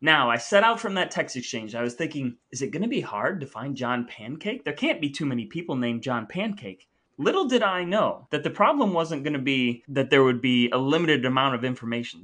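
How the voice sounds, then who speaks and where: Male podcast co-host, bright forward tone, casual storyteller. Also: on the high side.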